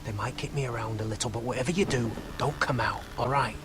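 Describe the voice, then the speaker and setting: An actor playing a scrappy young wildling scout in a dramatic fantasy video game. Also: male voice, mid-range voice, fast talker, over music, close to an everyday voice. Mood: urgent. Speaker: game character.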